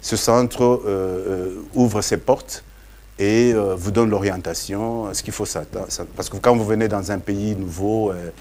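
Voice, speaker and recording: A man with a Congolese accent explains himself as an interview guest, haltingly, often repeating words. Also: on the low side, steady tone, darkish tone. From Democratic Republic of the Congo. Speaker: interview guest, parliamentary candidate.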